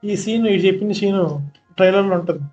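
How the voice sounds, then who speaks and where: Rapid-fire Telugu man, gaming streamer. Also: high-pitched, fairly even intonation, a little mellow. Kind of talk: casual live gameplay commentary. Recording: muffled stream mic over game music. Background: game music.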